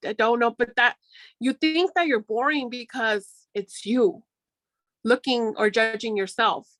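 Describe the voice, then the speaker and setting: A woman's voice: on the high side, sharp tone, dry recording, rough recording. A woman from Mexico whose first language is Spanish, talking casually and encouragingly in a workshop. She talks in a chatty way and often restarts her sentences.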